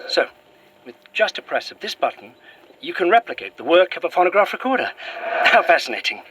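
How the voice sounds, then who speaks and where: A man playing a courteous but haunted Victorian gentleman in a horror audio drama, with formal, old-fashioned diction and an earnest manner. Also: sits high, sing-song, a little fast, breathy edge, full character voice, rough recording. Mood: curious, delighted. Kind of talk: found-footage horror audio drama acting.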